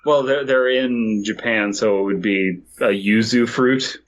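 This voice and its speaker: A man's voice: fairly low pitch, a little slow, slight background noise. A man co-hosting a comedy podcast, joking casually and reading fan fiction aloud.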